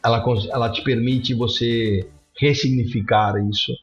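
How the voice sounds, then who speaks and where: A man's voice: medium pitch, fairly even intonation, normal speed, faint music behind. A man from São Paulo, answering interview questions animatedly with frequent restarts and checking tags. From São Paulo, Brazil. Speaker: guest, psychedelic clinic CEO. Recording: roomy, dull remote audio.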